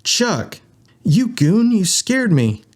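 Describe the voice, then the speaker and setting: Casting: man to voice a cheeky male corgi space thief for an audiobook, pitch lifted, cocksure. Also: very animated, normal speed, a little mellow. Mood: cocky, teasing.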